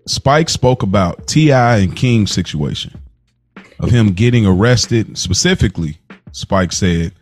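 A man co-hosting a podcast in casual conversation, talking earnestly and a bit haltingly. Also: low-pitched, a little mellow. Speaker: podcast co-host.

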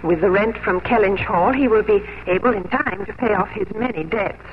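Wistful gentlewoman played by an actress, old radio sound. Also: mid-range voice, moderate pace, a little hiss or hum, close to an everyday voice. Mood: composed, polite. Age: young adult. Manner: earnest, wistful, literary phrasing. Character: wistful hopeful English gentlewoman no longer young, longing for lost love. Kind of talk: 1950s radio drama acting. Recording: dull old radio broadcast with music.

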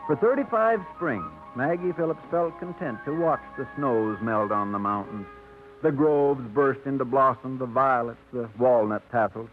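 A man giving measured, gently wry scripted narration for a radio drama. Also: medium pitch. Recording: tinny old radio broadcast over music.

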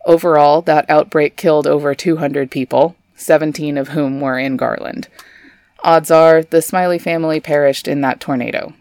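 A woman's voice: deep voice, steady tone, normal speed, a little mellow, no echo. A young adult woman from Texas, hosting a scripted storytelling podcast with chatty, wry asides. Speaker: podcast host.

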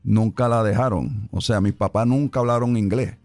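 A man raised in New York, a musician guest talking in a relaxed, hesitant way with many fillers. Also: lowish voice, normal speed, no echo, faint music behind. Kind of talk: conversational interview.

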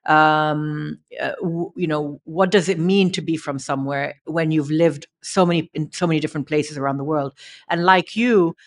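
A woman's voice: sits low, fairly even intonation, normal speed. A woman of Egyptian origin who grew up internationally, hosting a conversational interview and rephrasing questions as she goes. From Egyptian, raised in several countries. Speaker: podcast host.

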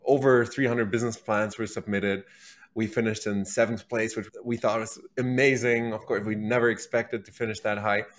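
Male executive guest, thirties, German accent, lowish voice, relaxed conversational interview storytelling. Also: normal speed. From Berlin, Germany. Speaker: podcast guest, company executive.

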